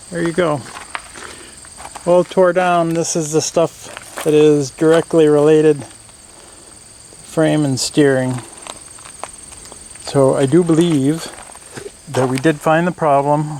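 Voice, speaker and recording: A man's voice: fairly high pitch, level tone, takes it slowly, pauses often, a little mellow, slight background noise, rough recording. A man giving casual hands-on commentary as host of a workshop build video, plain-spoken and thinking aloud.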